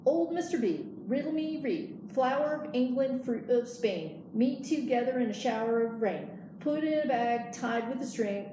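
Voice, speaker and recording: A woman's voice: on the high side, lively intonation, normal speed, hardly any pauses, voice pushed up, close to an everyday voice. Storybook squirrel lad, played by a woman: impudent, teasing, chanting riddles in a lifted sing-song, roomy echo and noise around. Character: cheeky young male squirrel singing taunting riddles. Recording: echoey room, noisy background.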